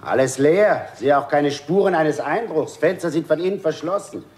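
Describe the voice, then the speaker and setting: A man's voice: a little high, expressive, normal speed, darkish tone, natural voice. An actor playing a sceptical, commanding policeman in a radio play, dry and matter-of-fact.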